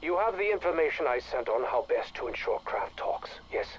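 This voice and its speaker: An actor playing a cold, imperious spymaster director in a game audio log, giving orders in a clipped, formal manner. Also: male voice, on the high side, animated, brisk, slight background noise, close to an everyday voice. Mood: cold, commanding. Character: cold, imperious male director of covert operations.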